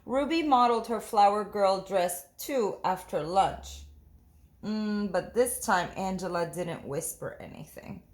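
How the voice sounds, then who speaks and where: A woman's voice: medium pitch, unhurried. A woman teacher reading a picture book aloud, narrating and putting questions to young listeners. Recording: roomy echo.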